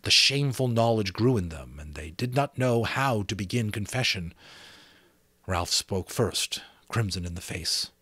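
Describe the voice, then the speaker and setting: Middle-aged male storyteller, amateur audiobook feel, expressive and varied in pitch, dry recording. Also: a little slow, breathy edge, no echo. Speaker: amateur narrator reading a novel aloud. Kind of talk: dramatic audiobook narration with asides to listeners.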